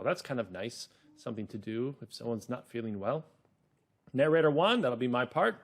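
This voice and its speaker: Guy teaching kids reading, encouraging, stretches out key words, super up and down pitch. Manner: encouraging, explanatory, with drawn-out emphasis. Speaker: teacher and host. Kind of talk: children's reading lesson with story narration.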